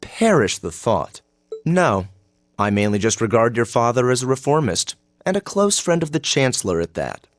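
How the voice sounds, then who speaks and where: An actor playing a haughty young noble student in a video game, with a measured, coolly sardonic and disdainful delivery. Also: male voice, medium pitch, sing-song, no room sound, clean studio sound, lightly acted. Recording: music behind the voice.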